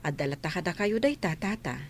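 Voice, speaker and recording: A woman's voice: lowish voice, animated, fairly quick. A woman with a northern Philippine accent playing a kindly, consoling religious sister in a radio drama. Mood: helpful. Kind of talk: village radio drama acting.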